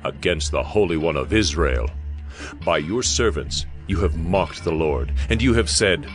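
A stern prophet in a scripture audio drama. His low voice delivers judgment in solemn, declamatory phrases over background music. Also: lively intonation, a little slow. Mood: stern, accusing.

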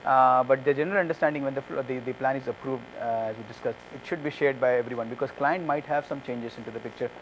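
Male speaker, 30s, rapid and halting, Q&A after a talk, light hum. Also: medium pitch, dull audio. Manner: fast, hesitant, many fillers and restarts. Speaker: conference speaker. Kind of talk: answering audience questions. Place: conference room with curtains. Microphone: lapel mic.

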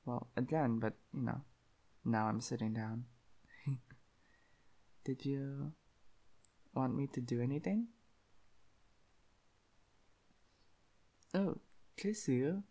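A man's voice: mid-range voice, takes it slowly, pauses often, warm tone. A man voicing an affectionate, shy young dog-boy boyfriend in an intimate roleplay, with a lisp and hesitant, cutesy delivery. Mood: playful, loving. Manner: breathy giggling, hesitant stammering, cutesy. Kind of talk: intimate roleplay audio acting.

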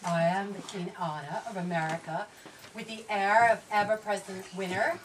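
A woman's voice: mid-range voice, steady tone, normal speed, lightly acted. A woman voicing a haughty, domineering fashion queen in a comic puppet show, with pompous, commanding delivery. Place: small indoor shop puppet show. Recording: noisy, distant camera microphone.

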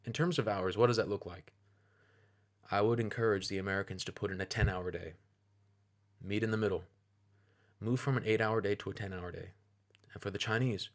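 Deep-voiced man giving measured commentary. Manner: measured, deliberate, persuasive phrasing. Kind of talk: opinionated video commentary.

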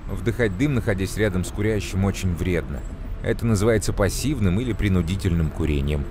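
Man playing a deep-voiced cartoon mentor, calm and instructive. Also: noisy background, natural voice. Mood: earnest, explanatory. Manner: measured, didactic, clearly enunciated. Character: calm, knowledgeable adult male mentor explaining to children. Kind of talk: educational children's cartoon explanation. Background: music.

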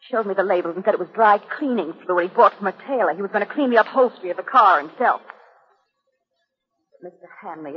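A distraught wife in an old radio thriller, dramatic and anxious. Her voice is very husky, on a muffled recording with a long echo. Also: lowish voice. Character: frightened, distraught wife confiding her fears. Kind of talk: 1940s radio acting.

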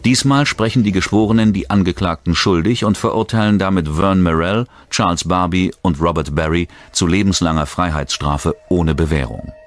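A man's voice: low-pitched, normal speed, few pauses. A man reads a scripted true-crime documentary narration. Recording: music bed behind the voice. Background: background music.

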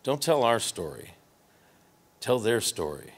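A man's voice: mid-range voice, big pitch swings, a little slow. An older man with a Midwestern American accent giving a casual, folksy conference talk from a podium with a handheld mic, often restarting his sentences. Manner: conversational, folksy, trailing restarts. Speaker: award recipient speaker. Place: large hotel ballroom stage. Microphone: handheld microphone at a podium.

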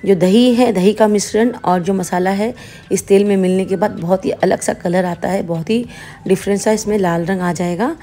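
A woman's voice: medium pitch, fairly even intonation, normal speed, warm tone, soft background music. A woman hosting her cooking channel, patiently explaining each step of a home recipe.

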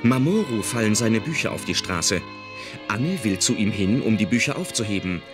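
A man's voice: fairly low pitch, sing-song, normal speed, over music. A man narrating an audio drama from a script.

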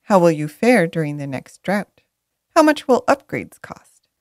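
A woman narrating a scripted educational video in a lively, emphatic way, stressing questions. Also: fairly low pitch, unhurried, no room sound, clean studio sound. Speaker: presenter of land-buying explainer videos.

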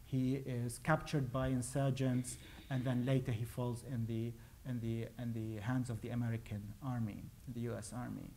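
A man's voice: mid-range voice, level tone, normal speed, mellow, a little hiss or hum. A man in his forties from Iraq, a playwright giving a talk about his plays, hesitant with many restarts. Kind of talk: lecture on his own plays. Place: lecture stage before a pale wall.

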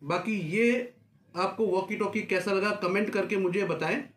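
A man's voice: sits high, fairly even intonation, normal speed, a bit bright. A man giving a casual hands-on unboxing, recorded across a desk in a small room. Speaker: vlogger presenting a product unboxing. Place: small furnished office room, indoors. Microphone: camera a few feet away across a desk. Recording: roomy echo, faint background noise.

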